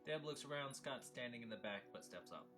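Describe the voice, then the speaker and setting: A man's voice: mid-range voice, expressive, fairly quick, bright, forceful tone, soft background music. A man reading stage directions as narrator at a film table read.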